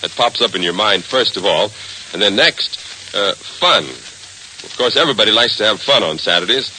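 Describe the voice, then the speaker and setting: A man's voice: lowish voice, lively intonation, normal speed, bright, forceful tone, a little hiss or hum, rough recording. An American man announcing in an emphatic, theatrical 1940s radio style, on a vintage broadcast recording.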